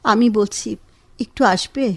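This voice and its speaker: A woman's voice: fairly high pitch, slow, gravelly, no room sound, exaggerated voice. A woman playing a wistful, suffering wife in a radio drama, sighing and pleading. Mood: pleading, hurt. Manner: plaintive, sighing. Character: wistful wife, often in pain, pleading with her husband. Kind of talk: Bengali radio drama acting.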